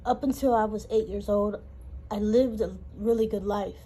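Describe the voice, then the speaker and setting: Woman sharing a halting testimony. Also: mid-range voice, fairly even intonation, warm tone, slightly rough. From Honolulu, Hawaii. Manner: halting, emotional storytelling with pauses. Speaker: interviewee. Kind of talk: personal testimony.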